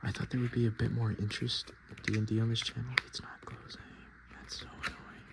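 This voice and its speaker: A man hosting softspoken, whispered ASMR in a murmured, unhurried, casual and self-deprecating way. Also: medium pitch, little pitch movement, creaky. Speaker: ASMR video host.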